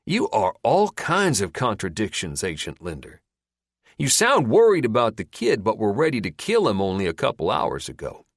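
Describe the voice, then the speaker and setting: A man's voice: fairly low pitch, wildly swooping pitch, moderate pace, no room sound, clean studio sound, full character voice. A man voicing a smirking male backwoods captor who taunts in a folksy, rambling way. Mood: taunting.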